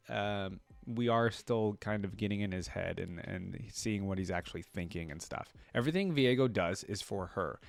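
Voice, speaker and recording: A man's voice: mid-range voice, no echo. A man hosting a reaction video, reading story text aloud and adding casual commentary.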